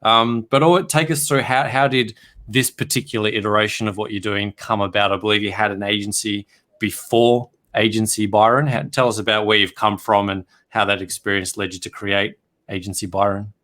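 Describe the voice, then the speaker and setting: A man's voice: on the low side, flat delivery, brisk, fairly bright tone. A man hosting a podcast interview, upbeat and enthusiastic in a casual way. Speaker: podcast host.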